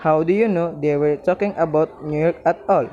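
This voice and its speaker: Low, dark-voiced police desk officer played by a woman. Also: moderate pace, muffled recording, lightly acted. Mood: dismissive, patronizing. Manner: matter-of-fact, patronizing. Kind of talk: radio play acting.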